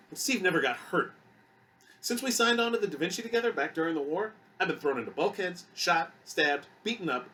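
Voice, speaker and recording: Guy doing a big young security guard, earnest and troubled, halting, pitched up, audiobook. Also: bright, forceful tone, distant mic.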